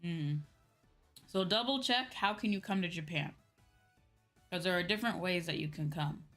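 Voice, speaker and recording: Woman, slightly low voice, casual livestream host, conversational advice, emphatic repetition, soft background music. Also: normal speed. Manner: conversational, repeats herself for emphasis. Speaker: streamer host.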